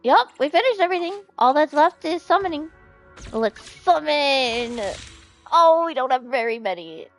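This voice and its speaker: A woman chatting casually as she livestreams a game, with a playful, expressive way of talking that readily turns to pleading and exclaiming. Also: high-pitched, moderate pace. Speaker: game streamer. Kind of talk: casual livestream chat over gameplay. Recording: music behind the voice. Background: music.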